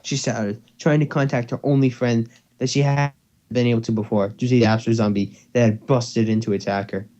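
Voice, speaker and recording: A slightly muffled online-call recording of a man with a mid-pitched voice. He reads and hosts amateur fan fiction in a casual, unpolished way. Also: level tone, a little fast, dark tone. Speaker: narrator and co-host. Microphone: computer or headset mic on a voice call.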